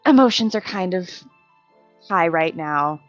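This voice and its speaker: A woman's voice: lowish voice, expressive, measured pace, soft background music. A woman playing a tabletop game, speaking hesitantly and thoughtfully. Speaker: player. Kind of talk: casual tabletop roleplay. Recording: dry, dull lo-fi audio.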